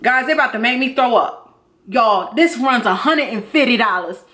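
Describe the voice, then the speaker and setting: A woman in her thirties chatting casually and excitedly to viewers as a beauty vlogger, expressive and slangy, repeating herself for emphasis. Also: fairly high pitch, normal speed. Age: 30s. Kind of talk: casual excited unboxing chat.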